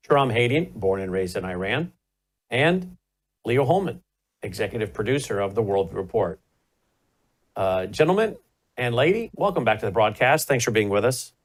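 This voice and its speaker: Man, middle-aged, talk show host, emphatic, forceful questions. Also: mid-range voice. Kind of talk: political commentary and panel hosting.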